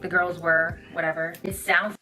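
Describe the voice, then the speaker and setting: A woman's voice: fairly low pitch, level tone, moderate pace, bright, forceful tone, faint music behind. A young woman giving a casual opinion monologue as a social media creator. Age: young adult.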